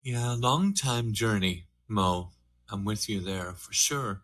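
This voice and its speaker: A man's voice: lowish voice, fairly bright tone. An older man with an Irish accent, a meditation teacher telling his own story earnestly and reflectively in a group conversation. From Ireland. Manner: earnest, reflective, slow and fast by turns.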